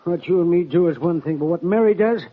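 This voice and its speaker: An actor playing a folksy, rambling cowboy old-timer in an old Western radio drama, with rustic, ungrammatical speech. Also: male voice, high voice, quick pace. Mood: uneasy, protesting. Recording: muffled, noisy vintage radio recording.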